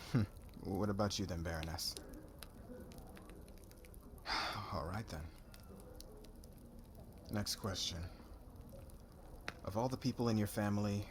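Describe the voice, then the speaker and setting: A man's voice: sits low, background noise. A man playing a cocky young warrior suitor in an ASMR roleplay, with a slangy, brash, guarded way of talking.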